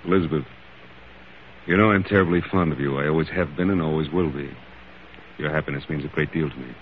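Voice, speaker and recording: An actor playing a cautious gentleman lover in an old-time radio drama, soothing and gently evasive. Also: very deep voice, fast. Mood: tender, cautioning. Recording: tinny, noisy old radio recording.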